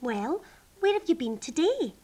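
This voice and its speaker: A woman's voice: sits high, big pitch swings, measured pace, mellow, pitched above the performer's own voice. A woman voicing a curious, thoughtful female storybook character who puzzles aloud with emphatic wonder for young children.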